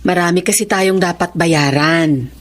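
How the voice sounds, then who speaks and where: A woman's voice: lowish voice, measured pace, close to an everyday voice. An actress playing a defensive, love-struck widowed mother in a Filipino radio drama, with a Filipino accent. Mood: frustrated.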